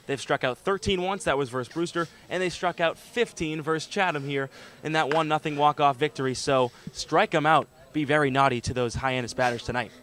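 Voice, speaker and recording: A man's voice: mid-range voice, a bit bright. A man working as a color analyst on a sports radio broadcast, commenting conversationally.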